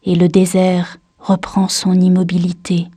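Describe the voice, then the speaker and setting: Woman reading a poem out slowly, kinda breathy and dark voice, solemn. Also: fairly low pitch, monotone, dry recording, studio quality. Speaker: poetry reader. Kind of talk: literary poem recitation.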